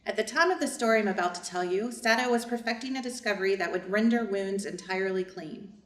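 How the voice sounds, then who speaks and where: A woman's voice: medium pitch, fast talker, a bit bright, lightly acted. A woman reading the part of a devoted family servant who narrates a story in a scripted staged reading. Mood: earnest storytelling. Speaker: reader's theater narrator. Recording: echoey room.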